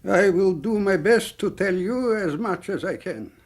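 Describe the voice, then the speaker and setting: An elderly, frail Russian count in a radio play. The voice is high and very slow, with a halting, courteous, fatherly manner. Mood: courteous, fond. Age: older. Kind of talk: radio drama acting.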